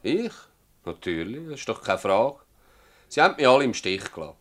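An actor playing a troubled, stubborn older village farmer with a Swiss German accent, blunt and plaintive, in a radio drama. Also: male voice, medium pitch, very animated, a little fast, fairly bright tone. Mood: bitter. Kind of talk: radio drama acting in dialect.

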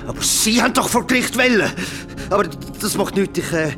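A man's voice: sits high, expressive, a little fast. A male actor playing a terrified sawmill owner in a horror radio drama, stammering and pleading in Swiss German. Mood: frightened pleading. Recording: music behind.

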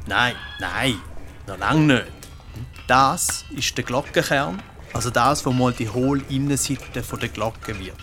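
A man's voice: medium pitch, unhurried, music in the background, natural voice. A man with a Swiss accent playing a good-natured, thoughtful monk craftsman who thinks aloud in a children's radio play. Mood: explaining.

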